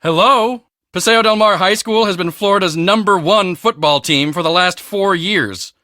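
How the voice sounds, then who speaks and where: A man voicing a cocky, boastful teenage high school football star in a video game, with a swaggering, emphatic, self-important delivery. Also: moderate pace, sharp tone, no room sound, clean studio sound. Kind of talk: video game character dialogue.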